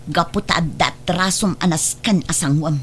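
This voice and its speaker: A woman's voice: on the low side, moderate pace, music in the background, lightly acted. A woman acting a wronged, protective middle-aged mother in an Ilocano radio drama, speaking earnestly. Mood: stern concern. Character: wronged protective mother, firm, hurt and resolute. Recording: slightly noisy radio drama track.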